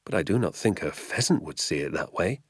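A man voicing a calm, mischievous father who speaks softly and wryly. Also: low-pitched, fairly quick, husky, no room sound, studio quality, voice pushed down. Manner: soft-spoken, wry.

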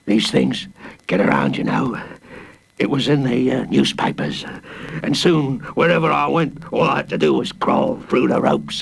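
A man's voice: gravelly, fairly bright tone, breathy edge, exaggerated voice. A man voicing a blustering fanged villain who was once a wrestler and now runs a prison, with growls and stammers. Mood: mournful. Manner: growling, stammering with ums, bursts of booming laughter. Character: blustering hooded wrestler villain warden with fangs.

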